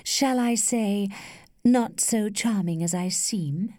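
A woman voicing a worldly, flirtatious lady who teases with cool indulgence in a measured, studied way, as in a storybook. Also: medium pitch, dark tone, no room sound, studio quality, pitched above the performer's own voice, natural voice. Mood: earnest, rueful. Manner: studied, measured, archly playful.

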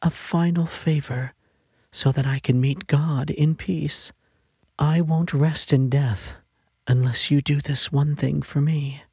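Give me a man playing a sick pleading mother, higher and breathy. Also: steady tone, slow, dry recording, exaggerated voice. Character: dying frontier mother, gentle and pleading.

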